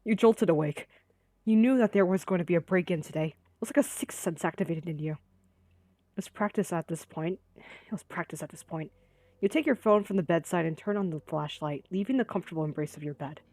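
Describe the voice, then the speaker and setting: A woman's voice: fairly low pitch, a little fast, dark tone, no echo. A woman reading visual novel narration aloud during a voiced playthrough. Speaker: gaming video creator reading aloud.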